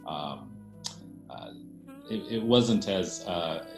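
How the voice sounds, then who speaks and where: A man's voice: deep voice, lively intonation, extremely slow. A man answering interview questions as a theater director, explaining things conversationally. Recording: roomy echo, faint music.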